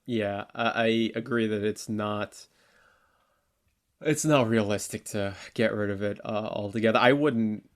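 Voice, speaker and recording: A man co-hosting a podcast, discussing politics casually in a halting, informal way and often repeating words. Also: on the low side, fairly even intonation. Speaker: podcast co-host debating politics.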